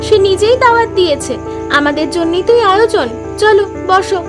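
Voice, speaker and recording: A woman's voice: extremely high pitch, expressive, moderate pace. A woman voicing a greedy, scheming young wife who pushes her husband. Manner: coaxing, insistent. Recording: voice over music.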